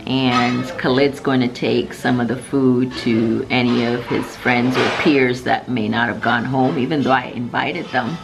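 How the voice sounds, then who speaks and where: A woman's voice: very deep voice, fairly even intonation, measured pace, gravelly, few pauses. A middle-aged woman hosting a casual home vlog, playfully stretching and repeating words for emphasis. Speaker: family vlogger, host. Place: home dining room and kitchen. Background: music.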